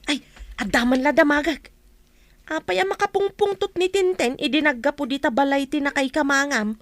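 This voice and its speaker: A woman acting as an older village mother in a radio play, affectionate and full of questions. Her voice sits fairly high and is recorded very dry. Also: normal speed, dry recording, close to an everyday voice. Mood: prying.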